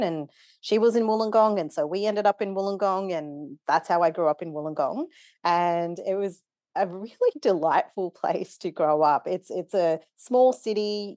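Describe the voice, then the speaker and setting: Low, mellow-voiced woman podcast guest. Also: dry recording, clean studio sound. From Kenya. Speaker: guest, facilitator. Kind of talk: podcast interview.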